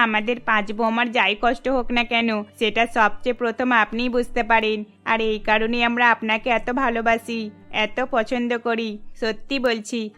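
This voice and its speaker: Woman playing a bright-voiced, devoted eldest daughter-in-law. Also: a little high, smooth. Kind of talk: Bengali cartoon voice acting. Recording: background music under voice.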